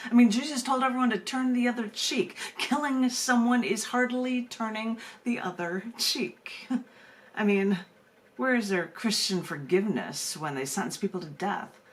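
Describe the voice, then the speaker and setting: An American woman speaking as a poet and open mic host, emphatic and conversational, often repeating words haltingly. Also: medium pitch. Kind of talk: spoken-word poetry reading and open mic hosting.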